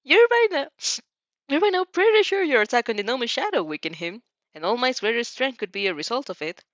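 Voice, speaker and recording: A woman reading a story aloud in an expressive, amused way, sometimes stumbling and rereading phrases. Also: a little high, brisk, dry recording, highs cut off. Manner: giggly, stumbles over phrases and rereads them. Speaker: fan fiction reader reacting as she reads.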